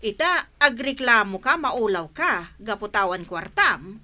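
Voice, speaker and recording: A woman's voice: fairly high pitch, sing-song, measured pace, bright, forceful tone, exaggerated voice. A woman playing a no-nonsense, sceptical village councilor in a comic radio drama, scolding with an Ilocano accent.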